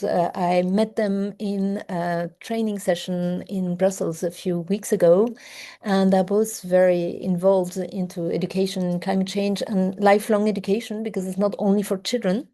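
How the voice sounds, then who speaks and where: A woman opening an academic seminar as host, speaking English with frequent hesitant pauses. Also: medium pitch, dark tone. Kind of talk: seminar introduction. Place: seminar room, hybrid session.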